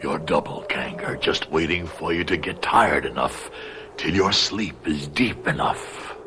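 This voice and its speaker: A man playing a ghostly, menacing doppelganger in a radio drama, speaking slowly and ominously. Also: a bass voice, pushed and bright, slight background noise, full character voice. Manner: slow, ominous. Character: sinister ghostly male doppelganger, patient and menacing. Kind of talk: old-time radio drama acting.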